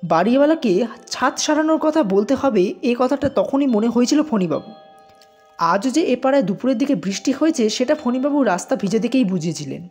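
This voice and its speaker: A woman narrates a suspenseful audio story in a high, husky voice. Her pitch moves in lively, dramatic swings as she tells it. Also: brisk. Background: music under the voice.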